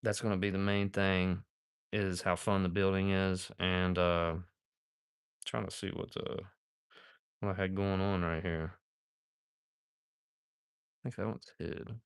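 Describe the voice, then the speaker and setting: Man in his thirties, very low voice, smooth and clear, dry close sound, laid-back rambling chat. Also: little pitch movement, unhurried, long pauses, no echo. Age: 30s. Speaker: model-kit builder streaming live. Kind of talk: casual live-stream chat while building.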